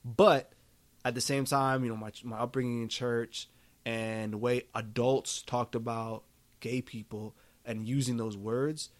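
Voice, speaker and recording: A man's voice: mid-range voice, fairly even intonation, measured pace, no echo. An American man in a casual podcast discussion, rambling and emphatic, peppering his opinions with "you know" and "like". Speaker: podcast speaker.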